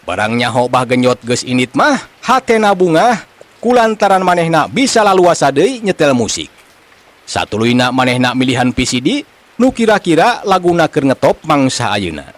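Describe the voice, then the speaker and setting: A man with a Sundanese accent telling a comic folk tale in an animated storytelling style. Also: on the high side, moderate pace, dry recording.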